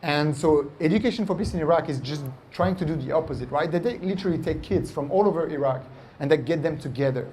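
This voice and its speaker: A man from France with a French accent, a company founder answering interview questions in an animated way, often tagging "right?" and "like". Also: fairly high pitch, fast talker, slight background noise.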